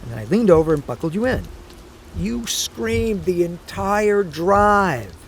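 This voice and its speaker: A man's voice: high voice, measured pace. An actor playing a fussy, protective, stubborn aging father in an audio drama, rambling and nagging in a natural way. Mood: nagging, fond. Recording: steady rain noise behind the voice.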